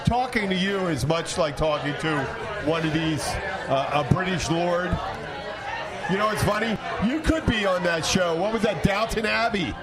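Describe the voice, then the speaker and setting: A man's voice: high-pitched, expressive, moderate pace. A man hosting a casual podcast chat, bantering in a rambling, jokey way. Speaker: podcast host. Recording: outdoor urban spot with loud crowd.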